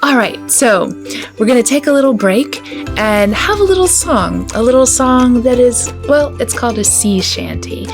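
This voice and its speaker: A woman's voice: on the high side, expressive, normal speed, over music. A woman hosting a children's storytime, reading a picture book aloud in a storytelling style.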